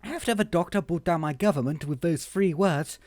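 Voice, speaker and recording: Man as a raised-pitch, haughty woman politician. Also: a little fast, darkish tone, no echo, natural voice. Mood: pleading. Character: haughty, wounded middle-aged woman politician, pleading.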